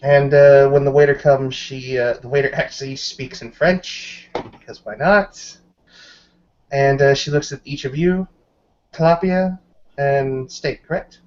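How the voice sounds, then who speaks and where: A man's voice: medium pitch, fairly even intonation, a little slow, lo-fi sound. A man casually narrating a character's actions in third person during a tabletop roleplaying game.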